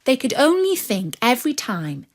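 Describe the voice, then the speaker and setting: A woman narrating a fairy tale for children in an expressive, measured storytelling manner. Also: fairly high pitch.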